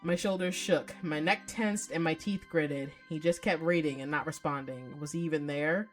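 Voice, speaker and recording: A woman's voice: deep voice, normal speed, faint music behind, voice pushed down, close to an everyday voice. A woman voicing a jilted, hurt and dramatic ex-lover from a romance visual novel, in an aggrieved, accusing manner. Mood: tense, brooding. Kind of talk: visual novel read aloud.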